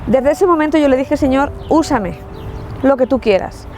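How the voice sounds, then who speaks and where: A woman's voice: sits high, moderate pace, a little mellow, slight background noise. A woman from La Mancha in Spain with a Spanish accent, telling her personal faith testimony in an earnest, reflective way with frequent restarts. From Spain, Ciudad Real. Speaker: testimony speaker.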